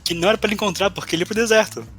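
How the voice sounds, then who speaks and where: Fast, bright Brazilian man, teasing podcast banter. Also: high voice, faint music behind. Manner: teasing, mock-questioning. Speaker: podcast co-host. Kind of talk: casual chat looking back on a role-playing campaign.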